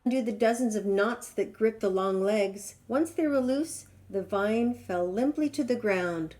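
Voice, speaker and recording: A woman's voice: mid-range voice, measured pace, dark tone. A woman reading a children's storybook aloud expressively. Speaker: storybook reader.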